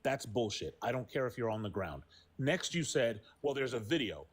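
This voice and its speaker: A man's voice: medium pitch, sing-song, normal speed, slightly rough. Combative, mocking male co-host. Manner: combative, mocking, repeats his challenges. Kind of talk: heated debate.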